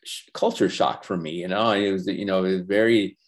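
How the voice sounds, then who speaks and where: Older man, halting and earnest. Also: sits low. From Los Angeles. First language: Spanish. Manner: halting, many restarts and "you know" fillers; earnest, reflective, faith-centered. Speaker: animation professor and former feature animator, guest. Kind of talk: casual interview chat.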